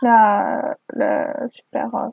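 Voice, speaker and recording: A woman reads aloud in a high, husky voice and keeps interrupting herself with exasperated commentary. Her delivery is sarcastic and unhurried, with a soft, mellow edge. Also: flat delivery, dry recording, studio quality. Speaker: video host reading fan fiction aloud. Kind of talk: mocking read-aloud with spoken asides.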